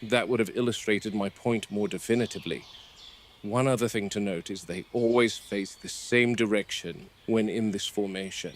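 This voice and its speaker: A man's voice: lowish voice, fairly even intonation, moderate pace, close to an everyday voice. A man playing an irate, seasoned dinosaur park game warden in a found-footage horror drama, blunt and exacting. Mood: calm, observant. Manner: blunt, indignant, exacting field-report delivery. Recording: noisy with ambient background sound.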